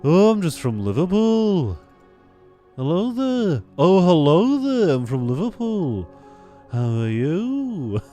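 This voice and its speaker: A man voicing a cheery, overdone mock Liverpool man, in a high, drawn-out imitation accent, with faint music behind. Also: very animated, dark tone, full character voice. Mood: playful mock.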